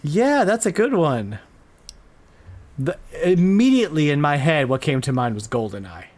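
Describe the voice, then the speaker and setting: A man's voice: a little high, very animated, moderate pace, darkish tone. A man hosting a podcast in an informal, enthusiastic, slangy way, chatting casually about retro games. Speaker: podcast host.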